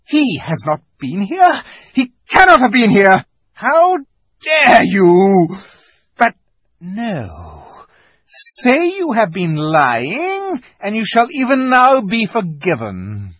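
A man voicing a cruel, imperious witch queen in a storybook reading, shrill and commanding. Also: huge pitch swings, takes it slowly, fairly bright tone, no room sound, heavily acted. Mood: cold.

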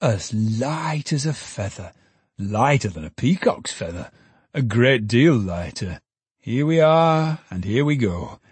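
Man doing a hurried, fussy old porter. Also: medium pitch, very animated, darkish tone, husky, no room sound, heavily acted. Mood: bustling. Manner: hurried, breathless, chattering. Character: old male ticket porter, fussy and quick-talking.

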